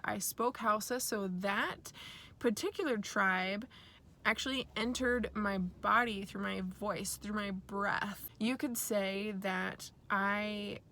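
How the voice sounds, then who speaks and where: Slow-paced woman in reflective spiritual talk. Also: mid-range voice, vocal fry, a bit bright. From born and raised in Nigeria to American parents. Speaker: speaker sharing personal origin story.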